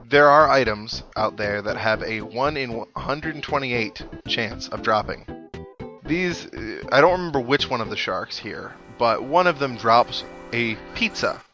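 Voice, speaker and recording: A man's voice: medium pitch, sharp tone, muffled recording. A man giving casual, wry let's play commentary on a video game, thinking aloud with dry humour. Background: video game music.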